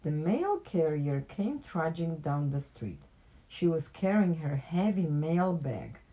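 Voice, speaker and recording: Mellow woman reading a children's story aloud. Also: low voice, slow pace, poor audio. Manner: animated character dialogue with plain narration. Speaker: picture book reader.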